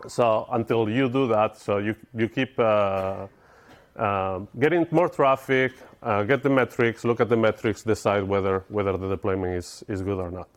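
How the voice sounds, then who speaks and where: A man's voice: on the low side, moderate pace. Man with spanish accent, conference speaker, middle-aged, informal and explanatory, asks the audience questions. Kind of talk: technical conference talk. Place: conference lecture room with audience.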